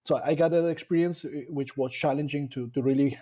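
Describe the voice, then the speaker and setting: A man from Colombia, a dairy nutrition scientist talking about his career in a casual interview, pausing often to rethink his words. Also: a little high, fairly even intonation, dark tone. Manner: thoughtful, with frequent fillers and restarts.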